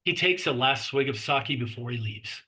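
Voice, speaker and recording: A man's voice: medium pitch, expressive, normal speed, bright, forceful tone. A man reading screenplay stage directions aloud as scripted narration at a table read.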